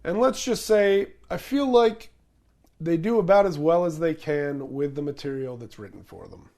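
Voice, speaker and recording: Male co-host, somewhat high voice, joking casually through movie talk. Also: animated, moderate pace, darkish tone. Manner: jokey, laid-back, playful asides. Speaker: podcast co-host. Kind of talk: casual chat about movies.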